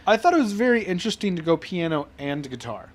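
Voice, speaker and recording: A man co-hosting a casual podcast, joking, swearing and laughing through quick banter. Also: high-pitched, big pitch swings. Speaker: podcast co-host. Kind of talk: casual chat.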